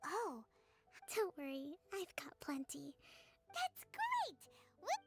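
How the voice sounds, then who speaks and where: Squeaky chirpy little game companion. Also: female voice, soft background music, full character voice. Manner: excitable, quick to exclaim. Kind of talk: game dialogue.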